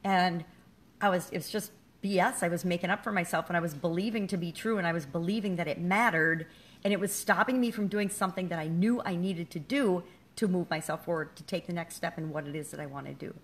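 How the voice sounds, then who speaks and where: A woman's voice: lowish voice, fast talker. A middle-aged woman giving a candid, self-deprecating motivational talk to her viewers. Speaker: business coach and vlogger. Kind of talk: casual motivational talk to camera.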